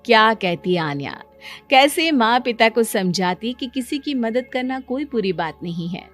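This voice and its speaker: High-voiced woman narrating a story. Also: lively intonation, measured pace. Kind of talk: scripted cartoon story narration. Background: music.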